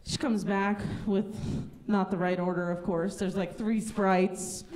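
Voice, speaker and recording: A woman's voice: medium pitch, steady tone, a little slow, a little mellow, poor audio. A woman from Russia doing stand-up comedy on stage, telling stories in a conversational, sarcastic way. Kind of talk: stand-up comedy before a live audience. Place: live comedy showcase at a lodge venue.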